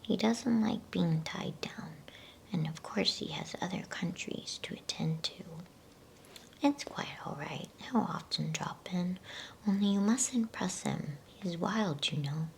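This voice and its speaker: A woman voicing a kindly male talking beaver from a storybook in a reassuring, cautioning breathy whisper. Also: on the low side, takes it slowly. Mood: gentle reassurance.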